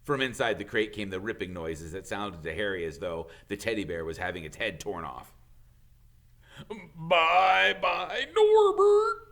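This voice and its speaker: A man reading a children's novel aloud as a teacher would, in expressive storybook narration. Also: low-pitched, a little fast, few pauses.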